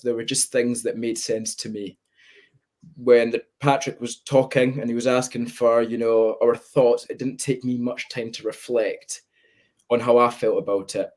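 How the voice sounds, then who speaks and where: A man's voice: medium pitch, darkish tone. A nineteen-year-old man from Spain with a Scottish accent, speaking candidly and reflectively as a guest on a webinar video call. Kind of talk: webinar conversation.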